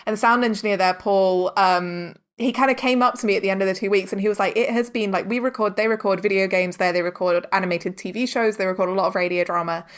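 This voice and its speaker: Woman, mid-range pitch, earnest and effusive, casual conversational interview, peppers talk with "like". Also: fairly even intonation, quick pace, hardly any pauses. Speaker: podcast guest, audio drama director and producer.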